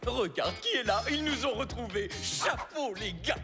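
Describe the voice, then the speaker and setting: A man's voice: extremely high pitch, lively intonation, normal speed, over loud music. A man voicing a cheerful, naive, nature-loving husband who panics easily, in a chirpy, theatrical cartoon style. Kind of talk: animated cartoon dub.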